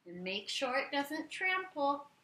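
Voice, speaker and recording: Woman doing a kids read-aloud, really bright high voice, expressive, talks right to the little ones. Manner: expressive, instructing young listeners, slow deliberate phrasing. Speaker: read-aloud teacher for young children. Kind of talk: animated children's story read-aloud with play-along prompts. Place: living room, indoors. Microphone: camera a few feet away. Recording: roomy living room echo.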